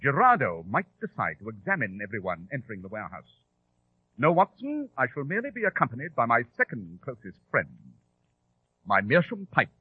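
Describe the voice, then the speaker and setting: A self-assured detective speaks briskly and precisely, never rattled. The voice is husky and lively in pitch, on a muffled, narrow vintage radio recording. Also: male voice, no room sound, heavily acted. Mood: brisk, resolute. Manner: crisp, precise, formal. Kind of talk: old-time radio drama acting.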